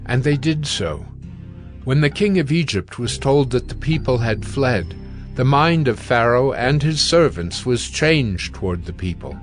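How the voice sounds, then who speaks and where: A man narrating scripture in a scripted, dramatic style, solemn and measured. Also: medium pitch, animated, soft background music.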